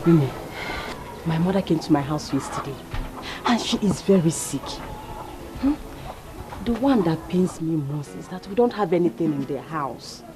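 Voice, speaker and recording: A woman's voice: deep voice, lively intonation, moderate pace, long pauses, music in the background. A woman playing a poor village woman who begs emphatically and repeats herself. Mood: pleading. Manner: pleading, emphatic, repetitive. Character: pleading indebted village woman, dramatic. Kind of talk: skit acting.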